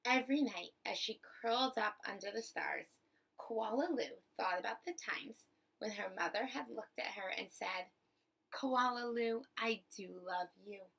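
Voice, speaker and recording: A woman reading a picture book aloud to children like a teacher, with expressive, deliberate phrasing. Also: medium pitch, vocal fry, husky, low-quality recording. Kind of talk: storybook read-aloud for children.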